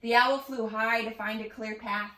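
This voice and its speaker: A woman's voice: on the high side, steady tone, moderate pace, pushed and bright. A woman expressively reading a rhyming children's story aloud. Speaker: storybook reader. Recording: echoey room, distant mic.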